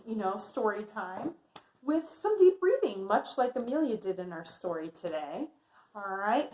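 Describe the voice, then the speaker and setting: A woman's voice: a little high, a little mellow. A woman teaching young children in a read-aloud lesson, encouraging and often checking in with her listeners. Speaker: kindergarten teacher. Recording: echoey room sound.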